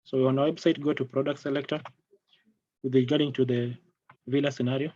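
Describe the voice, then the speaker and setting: Male product trainer, Kenyan accent, halting and repetitive delivery, dry lo-fi call sound. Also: medium pitch, level tone, mellow, no room sound. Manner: halting, repeats phrases. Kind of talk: live online technical training. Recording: dull lo-fi online call audio.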